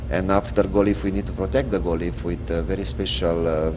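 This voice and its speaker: A man from Romania with a Romanian accent, interviewed as a guest artist, speaking halting English with many fillers. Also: deep voice, steady tone, background noise. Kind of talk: interview on location.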